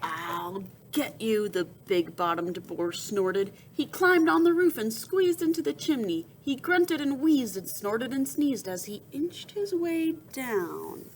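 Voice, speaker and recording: A woman reading a children's storybook aloud in an expressive, dramatic storytelling manner. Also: high-pitched, slow pace, few pauses, a little hiss or hum, rough recording. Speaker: storybook reader.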